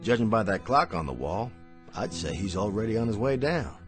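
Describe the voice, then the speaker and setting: A man's voice: fairly low pitch, very animated, soft background music. An actor playing a courteous, insinuating miracle worker and con man in a radio drama. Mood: insinuating, mocking. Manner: courteous, insinuating, drawn-out mock politeness. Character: smooth-talking, sly male miracle worker and con man.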